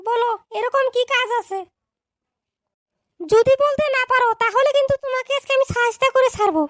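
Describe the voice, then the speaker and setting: Cartoon teacher, bossy and scolding, very high and dry, voiced by a woman. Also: fairly quick, no room sound. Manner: scolding, lecturing. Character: strict scolding female schoolteacher. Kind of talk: cartoon dub acting.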